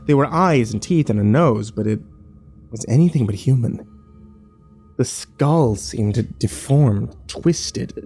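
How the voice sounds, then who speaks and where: A man playing a grim, fearful survivor, narrating his ordeal in a brooding, measured first-person horror style. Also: medium pitch, darkish tone, close to an everyday voice. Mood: horrified.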